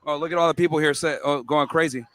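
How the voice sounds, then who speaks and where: A man debating students on a college campus in a blunt, mocking way, firing back quick comebacks. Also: on the high side, steady tone, a bit bright, dry recording.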